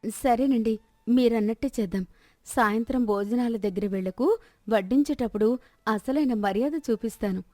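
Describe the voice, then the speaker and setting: Obliging young housewife for a storybook tale a woman acting, deferential, mellow and dry. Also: a little high, normal speed, no echo, natural voice. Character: polite, obliging young housewife turned slyly defiant.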